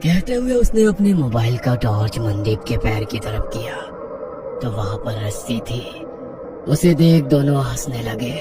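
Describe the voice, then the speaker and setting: A man narrates a scripted horror tale. His delivery moves widely in pitch. The voice sits a little back from the mic, with music clearly behind it. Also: very animated, a little slow, distant mic.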